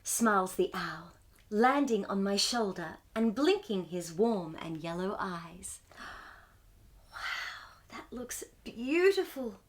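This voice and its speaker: A woman hosting a storytime, reading a picture book aloud to young children in an expressive, wonder-filled way. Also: mid-range voice, slow pace. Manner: wide-eyed wonder, exclamations and gasps between passages.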